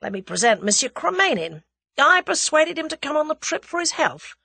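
A woman's voice: sits high, big pitch swings, normal speed, vocal fry, bright, forceful tone, no room sound, pitched above the performer's own voice, heavily acted. A woman voicing a brash, cool-headed young American man in an audiobook, with breezy, commanding, slangy delivery. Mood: breezy, wry.

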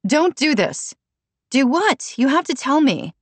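A woman's voice: high voice, very animated, measured pace, dry recording, voice pushed up. A woman narrator voicing a worried, exasperated, pleading pregnant mother in an audiobook. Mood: desperate, pleading.

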